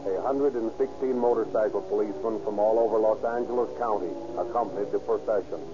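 A man's voice: on the low side, fairly even intonation, fast talker. A man giving a solemn tribute as a police chief, on a lo-fi old radio recording. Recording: lo-fi old radio recording over loud music.

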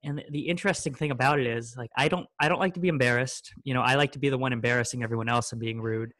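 A man's voice: mid-range voice, racing, a bit bright, no room sound. A man in his thirties hosting a podcast, talking in a loose, joking way with many fillers. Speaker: podcast host. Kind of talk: casual podcast chat.

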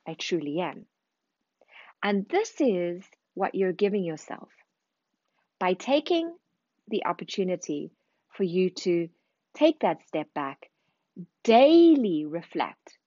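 A woman's voice: mid-range voice, mellow, no echo, dull audio. A woman from Zimbabwe, raised in South Africa, with a South African accent, giving an earnest, reflective motivational podcast talk and repeating phrases for emphasis. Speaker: podcast host, speaking coach.

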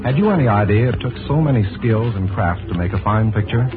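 A man's voice: lowish voice, lively intonation, fast, over music. A man announcing in a scripted 1940s radio style, polished, genial and formal. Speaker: radio announcer and sponsor host. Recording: narrow 1940s radio broadcast.

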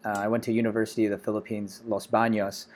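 American man in his forties, casual interview guest, relaxed storyteller, lots of fillers. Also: on the low side, quick pace. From Los Angeles, USA. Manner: relaxed, many fillers like "you know" and "uh". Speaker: guest, startup founder.

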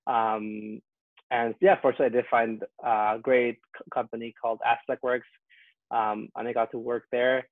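A man's voice: medium pitch, flat delivery, normal speed, no room sound. A young man from Peru who grew up in Canada, talking casually and upbeat in an interview, with frequent ums. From Peru, raised in Canada. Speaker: guest, software engineer. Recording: tinny phone-like line, dry.

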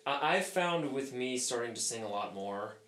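A man's voice: mid-range voice, moderate pace, echoey room, slight background noise. Stuffy-voiced man hosting a podcast. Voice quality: stuffy on one side. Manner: relaxed, chatty, laughs easily. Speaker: podcast host. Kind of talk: casual conversation.

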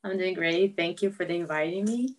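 A woman's voice: fairly low pitch, a little fast. A woman in her twenties from Brazil, a footballer, chatting as a podcast guest in English learned as a second language, with small grammar slips and easy laughs. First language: Portuguese. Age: 20s. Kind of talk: casual interview chat.

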